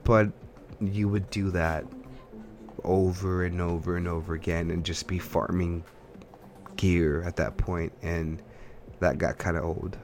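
Low-voiced man chatting casually. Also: level tone, unhurried, long pauses, darkish tone, faint music behind. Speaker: podcast co-host. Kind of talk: casual chat about games and films.

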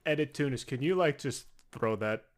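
A man co-hosting a casual comedy podcast, teasing his partner with profane, rapid comebacks. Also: mid-range voice, crowd noise. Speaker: podcast co-host.

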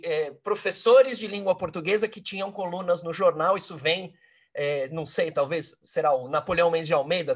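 A Brazilian man hosting an interview, introducing and questioning a guest with repeated short phrases and restarts. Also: on the high side. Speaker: interview host.

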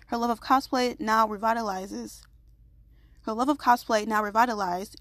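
A woman host who first reads a guest introduction and then chats casually over a remote call, bubbly and quick to laugh, a little congested. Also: on the high side, fairly bright tone, no room sound. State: slightly congested. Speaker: podcast host.

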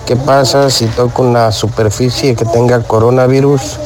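Mid-pitched Guatemalan male caller. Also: fairly even intonation, normal speed. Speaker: listener asking a question.

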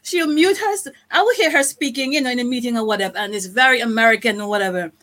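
A woman's voice: on the high side, fast, sharp tone. A woman on an online panel telling anecdotes, giggling often and chiming in with agreement. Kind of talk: casual group chat online.

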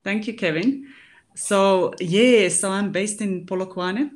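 A woman, a life coach answering interview questions, thinking aloud with pauses. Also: medium pitch. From Finland.

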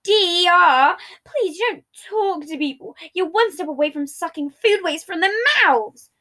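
A volatile, possessive boyfriend in an audio drama, acted by a woman. The voice is high-pitched and emotional, pressing every line as an accusation with a controlling edge. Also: normal speed, pushed and bright. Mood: accusing, indignant. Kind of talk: dramatic audio story character.

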